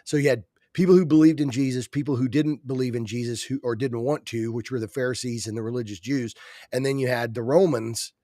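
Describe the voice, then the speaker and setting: A man's voice: medium pitch, fairly even intonation, fairly quick, mellow, dry recording, studio quality. A man co-hosting a podcast, stuffy-nosed, arguing his point earnestly and often restarting his sentences. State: stuffy nose. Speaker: podcast co-host. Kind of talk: casual religious discussion.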